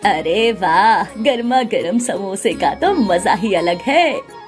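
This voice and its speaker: A woman voicing a hearty, delighted food customer with drawn-out, enthusiastic delivery in an animated story. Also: medium pitch, faint music behind, pitched below the performer's own voice.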